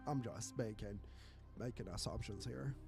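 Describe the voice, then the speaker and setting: A man's voice: on the low side, normal speed, vocal fry, music in the background. A man streaming a game, giving casual running commentary.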